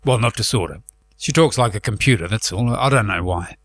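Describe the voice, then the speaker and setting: A man's voice: fairly low pitch, fairly quick, lightly acted. A man playing a breezy, tactless radio presenter in a comedy show. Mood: breezy, casual. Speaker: radio host and announcer.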